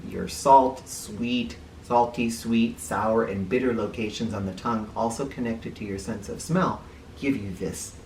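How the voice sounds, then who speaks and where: A man's voice: mid-range voice, unhurried, darkish tone. A man reading a children's book aloud in a playful, explanatory way, stopping to put questions to young listeners. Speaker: children's book reader and teacher. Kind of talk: animated read-aloud with asides for children. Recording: roomy, distant mic, faint noise.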